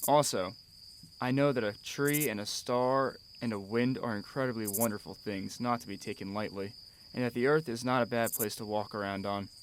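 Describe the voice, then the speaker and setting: A man's voice: fairly low pitch, steady tone, normal speed, slight background noise, lightly acted. An actor playing a wistful, proud middle-aged father in a radio drama, articulate, wry and formal. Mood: reflective, wry. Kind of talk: radio drama acting, letter narration.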